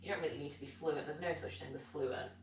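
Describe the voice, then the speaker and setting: A woman's voice: deep voice, fairly even intonation, fast, noisy background. A British woman whose first tongue was Gujarati, chatting in a rambling, informal way with live viewers as a fitness streamer while stretching. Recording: roomy, distant mic with music behind. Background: music.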